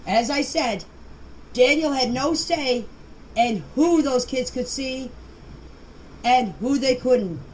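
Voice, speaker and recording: A woman of middle age or beyond talks in a somewhat high voice, as if leaving voice messages. She is insistent and defensive, circling back on her points and tripping over words. The homemade recording carries faint noise. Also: darkish tone. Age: middle-aged or older. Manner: defensive, insistent, repeating herself for emphasis; halting, stumbling over words and names. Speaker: member of an online group chat sharing voice messages. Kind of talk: casual unscripted voice messages. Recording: noisy amateur voice message recording.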